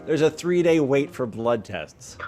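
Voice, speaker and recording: A man's voice: mid-range voice, animated, measured pace, darkish tone, soft background music, close to an everyday voice. A man acting in a radio play as a cheerful, easygoing bridegroom-to-be. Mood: happy, announcing.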